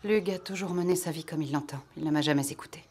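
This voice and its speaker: A woman playing a proud, guarded young Gaulish noblewoman in an animated historical drama, speaking firmly and with reserve. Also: low voice, fast talker, heavy background noise, natural voice. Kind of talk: animated docudrama acting in French.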